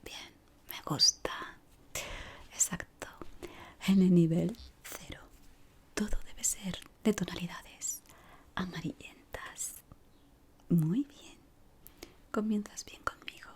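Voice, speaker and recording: A woman doing an ASMR roleplay in a breathy whisper, playing a polite but exacting decorator of an eerie office maze. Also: lowish voice. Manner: slow, deliberate, pausing, softly spoken.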